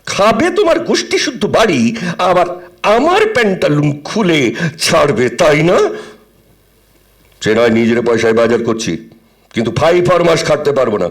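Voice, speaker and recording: A man's voice: a little high, big pitch swings, moderate pace, long pauses. A man playing a grumbling, teasing middle-aged husband who fancies himself a poet, with a Bengali accent and a theatrical, bantering delivery in an audio drama. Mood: irritated. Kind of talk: audio drama acting, domestic comedy. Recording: music under the voice.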